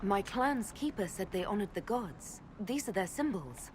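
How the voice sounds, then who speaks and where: A woman's voice: medium pitch, animated, normal speed, slight background noise, close to an everyday voice. An actress playing a proud, steadfast elven woman leader who speaks earnestly and directly, in game cutscene dialogue. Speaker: video game character.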